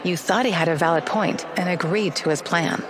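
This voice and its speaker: An actress playing a calm, enigmatic woman narrating a video game in a cool, measured, mysterious way. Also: lowish voice, noisy background, close to an everyday voice. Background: music.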